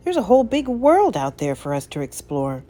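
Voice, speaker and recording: A woman voicing a carefree, excitable male stray dog with breezy, slangy speech in a storybook reading. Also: medium pitch, normal speed, voice pushed up.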